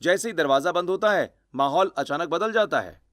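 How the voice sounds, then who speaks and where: A man's voice: a little high, animated, moderate pace, a bit bright, no room sound, clean studio sound. A man reading scripted narration for a cartoon family story. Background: background music.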